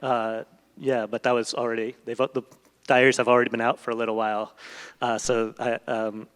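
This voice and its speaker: A man's voice: mid-range voice, flat delivery, fairly quick, clear, resonant tone. Thoughtful man speaking in an echoey hall. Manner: hesitant, thoughtful, building long winding sentences. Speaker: literary translator, guest speaker. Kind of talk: on-stage conversation, reflective and unscripted. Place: large event hall stage. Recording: echoey hall with long reverb.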